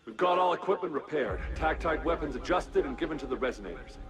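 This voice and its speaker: An actor playing an earnest, dutiful soldier in a video game, speaking respectfully as if to a superior. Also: male voice, a little high, expressive, quick pace, music in the background, close to an everyday voice. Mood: concerned, dutiful. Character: earnest, dutiful soldier reporting to his general. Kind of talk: dramatic scripted game dialogue.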